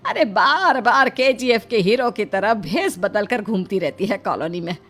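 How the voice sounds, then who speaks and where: A woman voicing a worried, affectionate older mother-in-law in a cartoon moral story, chiding in an expressive, motherly way. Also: medium pitch, normal speed, soft background music. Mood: exasperated.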